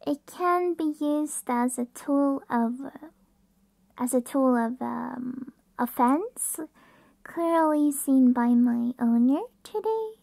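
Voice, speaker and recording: A woman voicing a playful, affectionate, bratty puppy girl in an ASMR roleplay, cutesy and breathy, close to the microphone. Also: high-pitched, lively intonation, takes it slowly, warm tone, no room sound, exaggerated voice. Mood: playful, dreamy.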